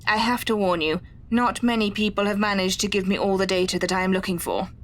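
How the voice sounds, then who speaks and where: A woman playing a polite, composed psychology researcher in an audio drama, explaining things in a formal, measured way. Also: mid-range voice, fairly even intonation, lightly acted. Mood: formal, explanatory.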